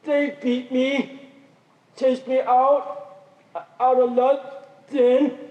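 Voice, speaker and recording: A male actor playing a gentle, pitiable outcast Englishman, meek and hesitant, with a halting stammer. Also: very high-pitched, little pitch movement, full character voice. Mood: meek, pitying. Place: high school theater stage. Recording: muffled, echoey hall from far off.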